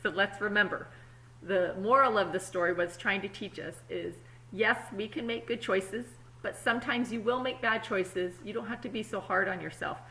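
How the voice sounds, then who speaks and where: A woman, apparently a teacher, reads a picture book aloud to children expressively, pausing to explain words simply and check in with her young listeners. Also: mid-range voice, pushed and bright, rough recording. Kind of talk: children's storybook read-aloud with asides.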